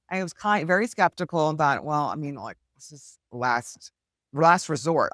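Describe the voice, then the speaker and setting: A woman speaking as a podcast guest, telling her story in an earnest, heartfelt and animated way. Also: low-pitched, no room sound, studio quality. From United States. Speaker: podcast guest, hypnosis healer. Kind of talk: candid conversational storytelling.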